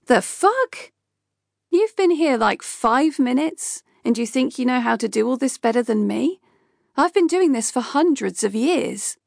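A woman's voice: on the high side, normal speed, vocal fry, a little mellow, no echo, clean studio sound. A woman voicing an ancient male sorcerer who is flippant, blunt and sweary. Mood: indignant. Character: man, hundreds-of-years-old sorcerer, flippant and crude.

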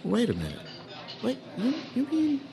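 An actor playing a teasing, incredulous old friend in a comic audio play, stammering in disbelief. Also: male voice, very high voice, huge pitch swings, moderate pace, creaky, mellow, slight background noise, exaggerated voice. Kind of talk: comic audio theatre acting.

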